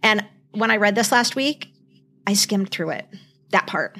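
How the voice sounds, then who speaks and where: Expressive middle-aged woman chatting. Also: mid-range voice, unhurried. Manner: emphatic, expressive storytelling. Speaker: podcast host. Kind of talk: casual chat.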